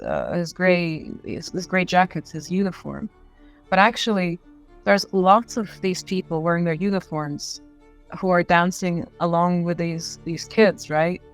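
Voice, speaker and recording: A woman giving casual, enthusiastic film commentary, repeating and rephrasing her points for emphasis. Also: medium pitch. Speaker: filmmaker discussing films as a guest.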